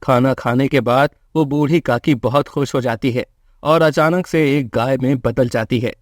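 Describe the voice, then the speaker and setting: A man narrates a scripted moral story for children. His voice has an average male pitch, and the recording is dry, with hardly any room sound. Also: steady tone, normal speed, no echo.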